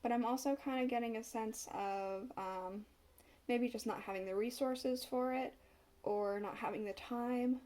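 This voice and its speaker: A woman's voice: a little high, fairly even intonation, measured pace, darkish tone. A woman doing a casual tarot reading to camera, a bit stuffed up from a cold and musing aloud as she turns the cards. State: stuffed up, slight cough. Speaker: tarot reader.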